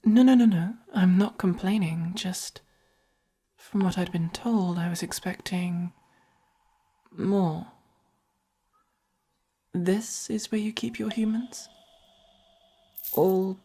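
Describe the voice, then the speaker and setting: Woman as a soft, breathy English vampire. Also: fairly low pitch, full character voice. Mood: disdainful. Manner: slow, deliberate, commanding. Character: haughty, possessive but caring vampire buying a human pet. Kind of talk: soft-spoken ASMR roleplay.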